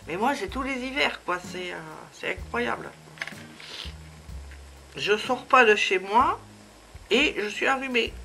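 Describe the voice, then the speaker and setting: A woman's voice: fairly high pitch, a little slow, vocal fry, long pauses, sharp tone, slight background noise. A woman with a cold hosting a casual crafting tutorial at home, chatty and full of hesitations. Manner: chatty, many hesitations and fillers. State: has a head cold.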